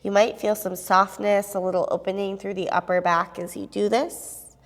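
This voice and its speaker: A young woman guiding a yoga class at an even, unhurried pace, her cues calm and steady. She sounds a bit sniffly from a cold and speaks into a clip-on mic. A long reverb hangs on her voice, as in a large room. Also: medium pitch. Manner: calm, steady cueing with soft fillers. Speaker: yoga instructor.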